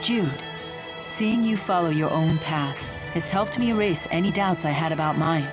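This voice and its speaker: A woman's voice: low voice, moderate pace, natural voice. An actress playing a composed, blunt, resolute young woman in a video game. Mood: calm, sincere. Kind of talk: English video game voice acting. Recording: background music under the voice.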